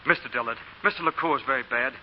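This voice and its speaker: An actor playing an urgent, deferential Southern messenger in a 1940s radio drama. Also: male voice, mid-range voice, fast, lightly acted. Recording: muffled, echoing, noisy radio recording.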